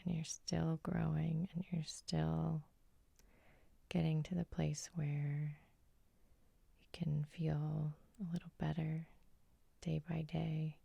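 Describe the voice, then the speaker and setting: A woman leads a guided sleep hypnosis in a soft, husky whisper. Her voice is dark and mellow with gentle, unhurried pacing. The sound is very dry and intimate, as ASMR. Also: low voice, level tone, no room sound. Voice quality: breathy, whispery. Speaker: hypnotist and host.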